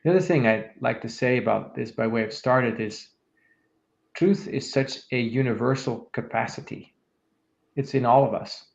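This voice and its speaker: A man in his fifties co-hosting a podcast, calmly talking listeners through a reflective exercise. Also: mid-range voice, gravelly. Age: 50s. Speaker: podcast co-host, leadership coach. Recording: echoey room, dull lo-fi sound.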